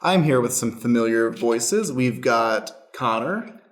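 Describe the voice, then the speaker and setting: A man's voice: mid-range voice, expressive, slow pace. Man hosting an improv audio show. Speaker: host and stage manager of an improv podcast. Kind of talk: friendly scripted-style show introduction and explanation.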